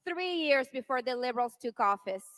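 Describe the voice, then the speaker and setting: A woman in her thirties from Venezuela addresses a rally crowd with passion. Her voice sits high and rings bright. She builds to rousing, forceful peaks on the key lines. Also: animated, fairly quick, slight background noise. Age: 30s. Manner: impassioned, rising to rousing shouts on key lines. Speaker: politician's wife speaking at a rally. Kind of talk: political rally speech.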